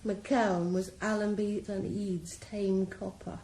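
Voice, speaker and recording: A woman's voice: mid-range voice, flat delivery, slow, warm tone. An actress in a radio drama playing a ruthless female drug boss, cool, composed and mocking. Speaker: criminal mastermind. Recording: roomy echo, faint background hiss.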